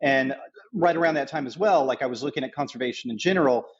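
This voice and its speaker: A middle-aged man speaking as an interview guest in a conversational storytelling way, haltingly, often repeating phrases. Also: medium pitch, slightly rough, lo-fi sound. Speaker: podcast guest, nonprofit founder.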